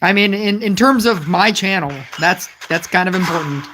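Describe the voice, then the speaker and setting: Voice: mid-range voice, moderate pace, over music. A mobile gaming streamer chatting casually while reading viewer messages, rambling with lots of "like" fillers and restarts, and adding wry, amused asides. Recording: noisy stream audio with clicks.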